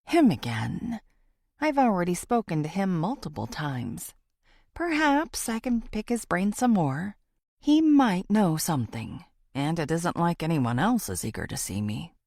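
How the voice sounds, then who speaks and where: A woman's voice: fairly low pitch, very animated, unhurried, darkish tone, dry recording, studio quality. A woman reading a first-person thriller audiobook as a scripted narration.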